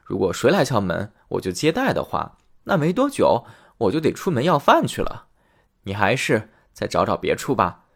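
A cold, impatient rich man from a children's storybook snaps out his refusals in clipped lines, as a male narrator puts him on. His pitch jumps around freely while his words stay short and dismissive. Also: huge pitch swings, moderate pace, gravelly, breathy edge, full character voice. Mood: refusing. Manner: curt, dismissive.

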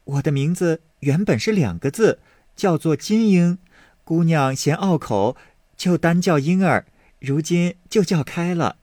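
A sweet, coy sixteen-year-old maid chatters in a sing-song lilt, as a man voices her. Her voice leaps high and falls, unhurried, with a dry close sound. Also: animated, a little mellow, dry recording. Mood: playful. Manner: coy, giggly, lilting. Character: sweet playful teenage maid girl.

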